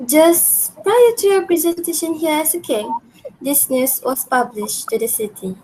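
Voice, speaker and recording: A woman's voice: high-pitched, measured pace, darkish tone, slight background noise, rough recording. A young woman playing an earnest, imperious queen of ancient Greece in an amateur radio drama. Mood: questioning. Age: young adult. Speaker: student actor.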